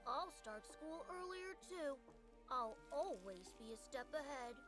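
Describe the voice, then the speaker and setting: A young boy with a high voice and unhurried delivery. He is boastful and sure of himself, in the manner of game dialogue. Music plays behind him. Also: sing-song. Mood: boastful, assured. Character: boastful young boy, protective older playmate. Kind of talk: game voice acting.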